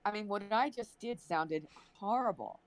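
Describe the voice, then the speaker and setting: A woman's voice: medium pitch, lively intonation, normal speed. A woman talking expressively and informally in a casual group chat.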